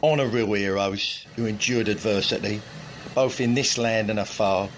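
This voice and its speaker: A man reading poetry aloud in a solemn, measured, earnest recitation. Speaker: poetry reader. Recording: clear background noise, vehicle-like rumble.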